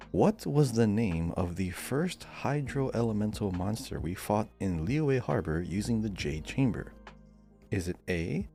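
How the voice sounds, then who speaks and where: Deep-voiced man hosting a casual quiz. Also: gravelly, mellow. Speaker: podcast quiz host. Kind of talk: casual quiz show banter, reading out questions.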